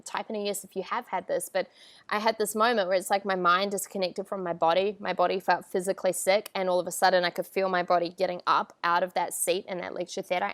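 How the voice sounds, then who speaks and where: A woman in her thirties with a New Zealand accent, coaching a live online audience in an upbeat, chatty way and calling for replies. Her voice sits a little low. The sound is dry and close, picked up by an earphone mic. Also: a bit bright, no echo. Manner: upbeat, chatty, often laughs and asks viewers for a yes. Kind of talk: live-streamed motivational talk. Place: outdoor terrace with palm trees. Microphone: wired earphone mic on a video call.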